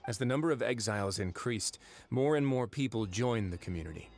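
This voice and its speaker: An actor playing a composed, wry young duke in a video game, speaking in a deliberate, measured storytelling manner. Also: male voice, lowish voice, expressive, faint music behind. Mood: calm, measured. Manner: deliberate, dryly wry, unhurried. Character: composed, wry young duke who runs an underwater prison fortress.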